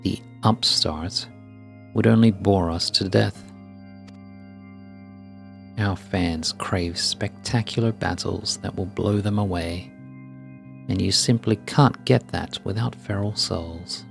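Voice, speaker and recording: A man's voice: deep voice, takes it slowly, vocal fry, long pauses, full character voice. A man voicing a haughty, dismissive female champion fighter from a video game, speaking with scorn. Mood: scornful. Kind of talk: scripted game dialogue read aloud. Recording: faint music behind.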